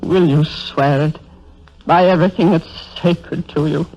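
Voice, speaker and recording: A woman's voice: low-pitched, steady tone, a little slow, mellow, soft background music. Grieving mother, dull vintage sound. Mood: solemn, insistent. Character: grieving, insistent mother of a dead soldier. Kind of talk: 1930s radio drama acting. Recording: dull, lo-fi 1930s radio broadcast.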